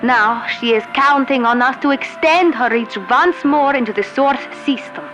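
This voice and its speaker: An actress playing a grave space fleet leader in a sci-fi audio drama, speaking formally and with solemn, measured diction. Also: female voice, high-pitched, fairly bright tone, rough recording. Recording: voice set over clear music.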